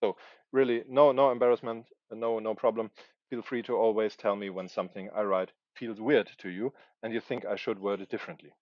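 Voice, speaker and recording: A man's voice: on the low side, fairly even intonation, a little fast, dry recording, dull audio. A man in his forties whose first language is German, thinking aloud as he writes on a livestream, hesitant and searching for words. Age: 40s. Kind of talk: thinking aloud while writing live.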